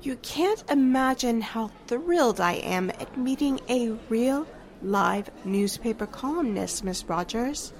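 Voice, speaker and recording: Actress as society busybody, affected, insinuating, slow delivery, upper-pitched, 1940s radio drama. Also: female voice, animated. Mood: gushing. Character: gushing, suspicious small-town society gossip lady.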